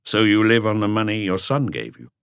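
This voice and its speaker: A man playing a patient male police inspector who asks measured, pressing questions in an audiobook. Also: fairly low pitch, big pitch swings, smooth, no echo, clean studio sound. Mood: patient, probing. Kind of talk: audiobook character voice.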